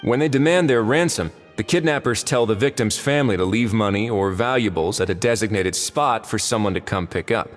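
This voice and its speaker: A man acting a calm investigator in a video game, with a lowish voice. He reasons methodically and politely, in a long reverb with music underneath. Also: lightly acted. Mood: calm, analytical. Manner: measured, analytical, polite.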